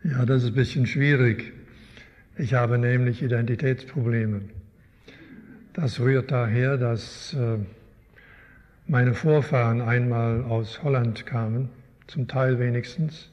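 Older man, from Canada, guest teacher, thoughtful, unhurried, reverberant church hall. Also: mid-range voice, steady tone, darkish tone, highs cut off. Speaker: guest speaker, Bible teacher. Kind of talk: live interview in a church.

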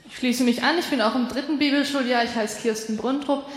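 Friendly, steady student, heard from afar in a reverberant hall. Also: female voice, sits high, brisk. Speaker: Bible school student. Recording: echoey hall from far off.

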